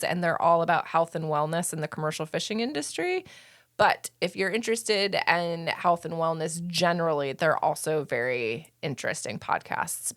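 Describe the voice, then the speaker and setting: A woman in her thirties, a podcast guest, talking casually in a brisk, self-deprecating way. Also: sits low, fairly bright tone, no room sound, studio quality. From born in Belgium, grew up overseas, Maine. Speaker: podcast guest, community program director.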